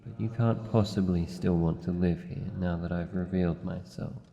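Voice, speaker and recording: Man voicing shadow monster, Australian accent, very low, gravelly, wary and lonely, formal halting speech, fantasy ASMR roleplay. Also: fairly even intonation, mellow. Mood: guarded, softening. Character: wary, lonely living shadow monster, grumpy but vulnerable. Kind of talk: fantasy ASMR roleplay, slow deliberate delivery. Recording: muffled, long cavernous reverb, faint noise.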